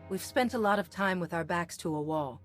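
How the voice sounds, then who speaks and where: An actress playing a resolute, earnest heroic commander in a video game, composed in delivery. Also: female voice, lowish voice, brisk, soft background music, natural voice. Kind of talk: video game hero acting.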